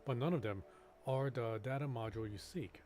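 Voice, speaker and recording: A man live-streaming a game, reading on-screen text aloud a little haltingly and breaking off into casual asides. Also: mid-range voice, darkish tone, faint music behind.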